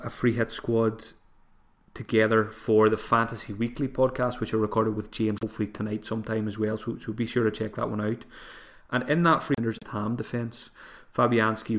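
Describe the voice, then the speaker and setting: Hoarse man hosting a podcast. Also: on the low side. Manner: thinking aloud, frequent restarts and fillers. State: voice getting hoarse. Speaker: podcast host. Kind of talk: solo podcast advice talk.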